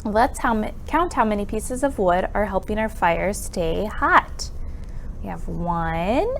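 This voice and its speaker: A woman's voice: medium pitch, expressive, takes it slowly, gravelly, a little hiss or hum. A woman presenting an interactive storytime for young children, with counting songs and exaggerated exclamations and questions.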